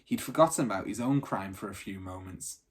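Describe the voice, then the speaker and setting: A man narrating a children's storybook aloud in an expressive, teacherly reading style. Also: fairly low pitch, a little fast, husky.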